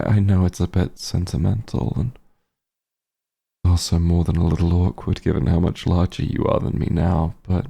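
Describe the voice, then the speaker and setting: A man acts out a fantasy monster hunter speaking tenderly to a long-lost friend in an intimate audio roleplay. His low voice is thick with gravel and fry, and he takes his words slowly, halting with remorse and feeling. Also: full character voice. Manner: halting, emotional, gentle. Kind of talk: roleplay audio drama, intimate monologue to listener.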